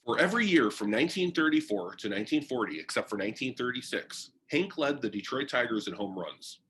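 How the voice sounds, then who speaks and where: A man narrates a biography as if telling a story, reading from a text. His voice is bright and forward, with pitch that moves around in a lively way and an expressive delivery. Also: brisk, a little hiss or hum, low-quality recording. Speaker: reader of a biography. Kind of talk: read-aloud biography narration.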